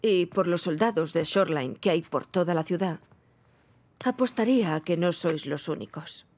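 An actress playing a hurt, sharp-tongued wife in her thirties in a dubbed game, sarcastic and reproachful, with a Castilian Spanish accent. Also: fairly low pitch, animated, normal speed. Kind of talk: dubbed video game cinematic acting.

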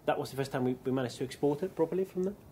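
A man's voice: a little high, very fast, dark tone, a little hiss or hum. A man from Chile with a Chilean accent, giving a keynote business presentation with frequent ums and restarts. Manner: hesitant, many ums and restarts. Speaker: keynote speaker.

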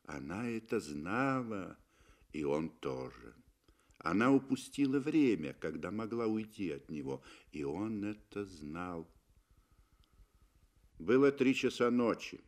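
A man with a fairly low voice reads literary prose as a 1960s radio narrator. He is deliberate and expressive, leaving dramatic pauses between phrases.

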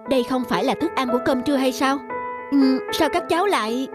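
A woman's voice: high voice, fast, lightly acted. Woman voicing a motherly big school cook. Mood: puzzled. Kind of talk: cartoon voice acting. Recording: music behind the voice.

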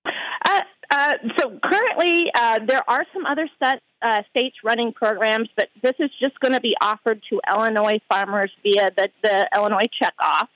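A woman agronomist talks through a crop testing program in an interview. Her voice sits fairly high and the sound is dry and close. She halts often with fillers as she explains. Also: normal speed, a bit bright, no room sound, low-quality recording. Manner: hesitant, many fillers. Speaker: outreach agronomist guest. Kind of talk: interview by phone. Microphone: phone line.

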